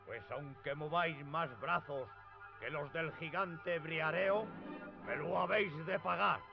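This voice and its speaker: An actor playing a bold, deluded knight, grandly declaiming a challenge in a radio play. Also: male voice, on the high side, measured pace, vocal fry. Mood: threatening, defiant. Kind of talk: radio theatre acting. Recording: distant, dull lo-fi with music.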